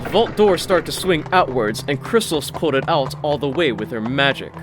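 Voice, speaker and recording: A man's voice: on the high side, expressive, fairly quick, heavy background noise. A man narrating a scripted fantasy story in the manner of an audiobook. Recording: music behind the voice. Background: background music.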